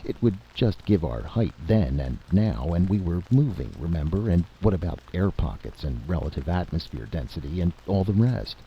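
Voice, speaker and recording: A man acts a blunt, skeptical adventurer in a story. He argues matter-of-factly in a low, animated voice over a dull, faintly noisy recording. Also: normal speed, hardly any pauses. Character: skeptical male adventurer, blunt, argumentative and practical.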